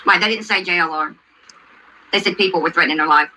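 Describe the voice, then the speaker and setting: A woman's voice: lowish voice, quick pace, sharp tone, slight background noise, rough recording. A woman hosting a livestream, commenting on a video and answering chat in an insistent way, repeating herself and asking questions. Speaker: livestream host.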